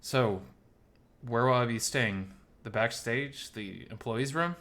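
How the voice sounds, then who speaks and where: A man's voice: medium pitch, takes it slowly, a bit bright. A man voicing a despondent, anxious male animal character in an audio story, hesitant and vulnerable. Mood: hesitant, worried. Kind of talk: dramatized audio story dialogue.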